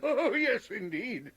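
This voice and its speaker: Casting: a portly, genial gentleman villain for radio drama, raspy high voice, ornate old-fashioned politeness. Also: heavily acted. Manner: florid, courteous, chuckling menace. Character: jovial, verbose male professor turned crime lord, large-bodied. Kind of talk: period radio drama acting.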